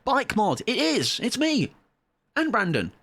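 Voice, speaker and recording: A man's voice: a little slow, no echo. A young man streaming a game, chatting casually and playfully with viewers. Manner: chatty, playful, swings into high excited pitch. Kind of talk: casual live-stream chat while gaming.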